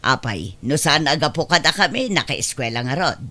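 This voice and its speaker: Pushy, materialistic mother, middle-aged, Ilocano accent, forceful bright delivery, acted by a woman. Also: sits low, big pitch swings, moderate pace, no echo, full character voice. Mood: defensive. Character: demanding, materialistic mother living off her working child. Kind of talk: Ilocano audio drama acting.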